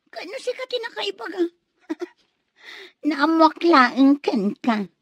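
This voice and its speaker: An actress playing a nosy, jealous village gossip in a radio drama, with an exaggerated, prying delivery. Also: female voice, a little high, husky, no room sound, exaggerated voice. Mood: flustered, evasive.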